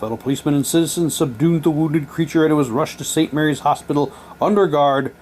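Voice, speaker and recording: A man's voice: on the high side, fast, a little mellow. Man presenting casually over noticeable background noise. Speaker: presenter showing audio drama props. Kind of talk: casual show-and-tell, reading prop documents aloud.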